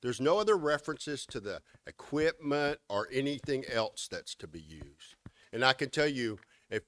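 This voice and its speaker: A man's voice: medium pitch, very animated, moderate pace, a bit bright, dry recording. A man giving a critical, sarcastic talk at a meeting. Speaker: meeting speaker on election law.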